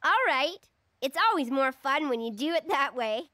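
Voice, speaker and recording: Eager cartoon bunny girl, very high, bright, animated, agreeable best friend. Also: normal speed. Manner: enthusiastic, agreeable. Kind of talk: children's cartoon voice acting.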